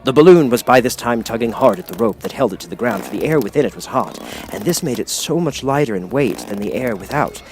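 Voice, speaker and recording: A man's voice: mid-range voice, sing-song, fast talker, vocal fry, background noise. A man reading a children's story as scripted audiobook narration. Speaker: audiobook reader.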